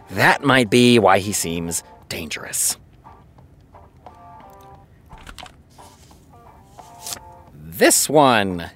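A man acting in a fiction podcast as an exasperated, sarcastic TV creator who reads fan fiction aloud. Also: mid-range voice. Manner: sardonic, muttering asides between read passages.

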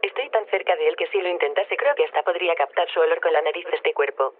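Audio drama role: male actor as literal, formal, courteous AI, very quick delivery, narrow telephone sound. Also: a little high, lightly acted. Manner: stiffly formal, over-literal phrasing. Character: literal-minded, polite artificial intelligence in a silicon body. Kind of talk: radio drama. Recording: tinny, narrowband phone line.

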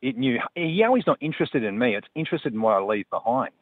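A man from New Zealand calling a radio show by phone, telling a story in a halting, solemn, insistent way. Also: mid-range voice, sing-song, dry recording. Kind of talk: casual anecdote telling. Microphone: telephone. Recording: tinny phone line.

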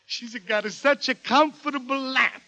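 An actor playing a pushy, wheedling middle-aged Italian restaurant owner with an Italian accent and broken English in a 1940s radio comedy. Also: male voice, very high voice, normal speed, gravelly, bright, forceful tone, exaggerated voice, rough recording. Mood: scheming, fatherly. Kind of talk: 1940s radio sitcom acting.

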